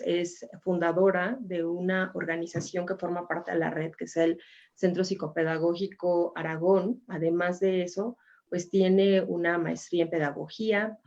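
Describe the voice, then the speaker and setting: A woman's voice: on the low side, creaky, darkish tone. A woman moderating a webinar, introducing the guests informally with many fillers over a video call. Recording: dull lo-fi video call audio.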